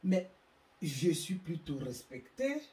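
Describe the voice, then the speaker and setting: A woman's voice: sits low, very animated, warm tone, roomy sound, recorded from a distance. A woman with an African French accent hosting her own channel, delivering an emphatic, moralizing monologue. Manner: slow, emphatic, preachy. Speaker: YouTube channel host.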